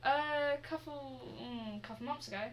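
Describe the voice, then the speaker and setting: A woman's voice: high-pitched, a little slow, low-quality recording. A young woman co-hosting a music podcast, chatting casually with giggles and hesitant restarts. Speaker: podcast co-host. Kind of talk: casual chat.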